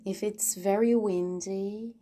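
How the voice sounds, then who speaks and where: Female meditation guide, gentle and extremely unhurried, flat steady intonation, reflective podcast delivery over guitar music. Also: mid-range voice, heavy background noise. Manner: gentle, unhurried, with long pauses. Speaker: podcast host and guided relaxation teacher. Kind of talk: guided relaxation, slow reflective narration. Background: soft plucked guitar music.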